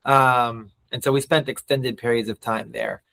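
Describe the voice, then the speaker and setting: A man in his thirties who grew up between the US and Israel, a podcast guest telling stories in a reflective, halting way. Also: medium pitch, fairly even intonation, gravelly, sharp tone. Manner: reflective, many restarts and fillers. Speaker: guest, nonprofit CEO. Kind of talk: interview.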